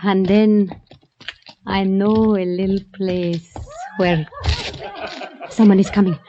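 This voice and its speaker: An actress playing an ardent, mysterious woman of the waterfront, speaking slowly and dramatically in a 1940s radio drama. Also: mid-range voice, fairly even intonation, crowd noise, natural voice. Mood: hushed, urgent. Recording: muffled vintage radio recording, light noise.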